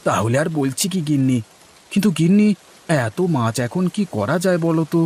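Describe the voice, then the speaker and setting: A man playing a simple, good-hearted village farmer in an acted story, theatrical and folksy with a husky voice. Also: fairly high pitch, very animated, fairly quick, a little mellow. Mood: pleased, affectionate. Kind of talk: acted Bengali moral story dialogue.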